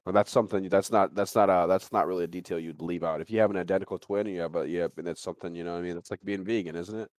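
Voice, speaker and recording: A man's voice: deep voice, steady tone, racing, few pauses, no echo, studio quality. A man hosting a casual podcast, talking in a rambling way and circling back over his phrases. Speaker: podcast host.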